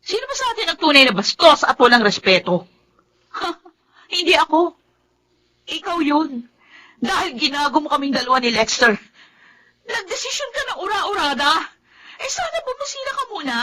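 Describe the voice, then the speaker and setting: An actress playing a bitterly defiant, anguished young daughter in a melodramatic radio drama, sarcastic and tearful, slipping into English. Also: female voice, very high voice, very animated, moderate pace, long pauses, sharp tone, full character voice. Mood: bitter sarcasm.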